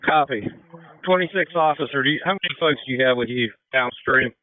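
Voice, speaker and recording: A man's voice: mid-range voice, a little fast. An American man giving status reports as an emergency officer over two-way radio. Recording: tinny, dry two-way radio.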